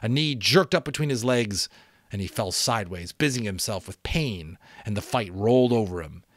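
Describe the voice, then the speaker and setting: A man with a fairly low voice narrates fiction as a dramatic reading. The recording is dry and close, with very little room in it. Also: animated, moderate pace, no room sound. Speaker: audiobook narrator.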